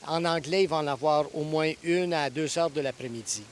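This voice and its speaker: A priest in his sixties from Ontario answers questions at an easy, reflective pace, often pausing with "euh" and restarting phrases. His voice sits fairly high for a man. A faint hiss runs under the recording. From Ontario, Canada. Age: 60s. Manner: thoughtful, with frequent hesitations and restarts. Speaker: priest and guest preacher. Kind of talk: interview answers.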